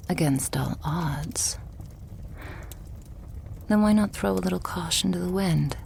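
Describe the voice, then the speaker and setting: Slow, breathy vampire girlfriend, played by a woman. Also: deep voice, a little hiss or hum, heavily acted. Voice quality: soft, breathy, often whispered. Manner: slow, tender, coaxing, lightly teasing. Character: loving, doting, stubborn female vampire girlfriend. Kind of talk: ASMR roleplay, intimate monologue to the listener.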